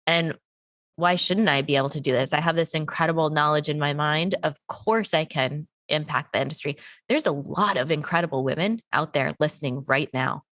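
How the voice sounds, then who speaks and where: A woman hosts a podcast with a fairly low voice, a little stuffy from a cold. She talks in an earnest, encouraging way, building long, winding sentences. The sound is dry and close, with no room echo. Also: brisk, a bit bright. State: has a cold, sniffling. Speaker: podcast host. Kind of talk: casual interview chat.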